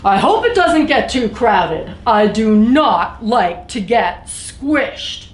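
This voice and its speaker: A woman's voice: a little high, big pitch swings, slow pace. A woman voicing a polite, fretful male Sasquatch bus passenger in a picture book, courteous and pleading. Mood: worried. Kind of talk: picture-book read-aloud. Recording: roomy echo, some background noise.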